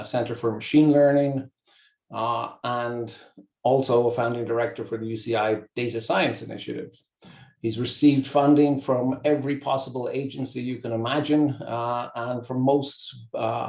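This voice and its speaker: A mellow, dark-voiced Irish man introduces a seminar speaker in a chatty way full of small fillers. His voice rings in a roomy, echoey space. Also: mid-range voice, vocal fry. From east of Ireland. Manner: warm, chatty, hesitant with fillers. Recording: echoey room.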